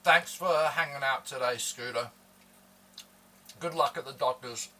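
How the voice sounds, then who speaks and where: A man's voice: medium pitch, unhurried, pushed and bright, distant mic. A man chatting casually with viewers on a live stream while eating, teasing and joking with his chat. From born in Hong Kong, raised in Australia. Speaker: livestreamer.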